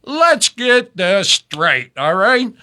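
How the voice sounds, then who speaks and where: A male talk radio host, his voice pitched high and bright. He talks slowly, laying out his points bluntly and with indignant emphasis. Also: big pitch swings. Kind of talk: talk radio political chat.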